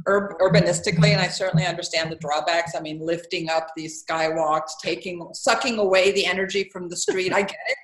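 A middle-aged woman from Finland, an architect answering interview questions in a thoughtful, measured way. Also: lowish voice, steady tone. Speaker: architect and dean, interview guest. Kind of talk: relaxed reflective interview answers. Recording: roomy, echoey sound.

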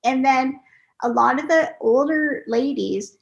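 A woman speaks as a podcast guest, her fairly high voice carrying a steady gravelly fry. She explains things patiently, one step at a time, in a relaxed conversational way. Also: measured pace, highs cut off. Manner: explains in steps, patient and clear. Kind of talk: casual interview talk.

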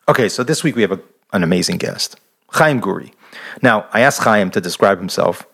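Male podcast host, deep-ish voice, eager and chatty. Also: expressive, a little fast, creaky, a bit bright, breathy edge. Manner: enthusiastic, repeats words and restarts often. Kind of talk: casual interview chat.

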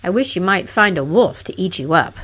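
A woman voicing a cross, selfish young gentleman in a fairy tale, curt and grumbling. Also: medium pitch, moderate pace, lightly acted. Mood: angry. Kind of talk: fairy tale storybook character.

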